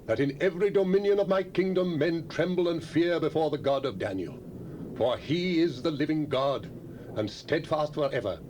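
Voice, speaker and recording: A man's voice: fairly high pitch, darkish tone, a little hiss or hum, close to an everyday voice. A man playing a solemn ancient king. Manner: formal, solemn King James speech. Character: anxious ancient Persian king, solemn ruler. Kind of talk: 1970s dramatized scripture audio drama.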